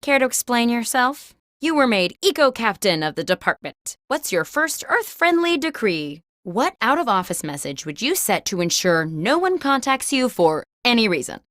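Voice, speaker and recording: Female game host: chirpy, wry corporate interviewer, crisp and mock-professional, very lively intonation, dry close sound. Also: fairly high pitch, normal speed, hardly any pauses, no echo. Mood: upbeat, wry. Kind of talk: scripted game show prompts.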